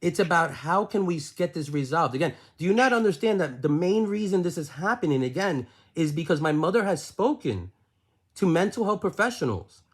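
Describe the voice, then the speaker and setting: A man's voice: fairly high pitch, big pitch swings, fairly quick, husky. A man in a heated phone argument, insistently repeating himself and pressing question after question. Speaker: caller questioning his aunt.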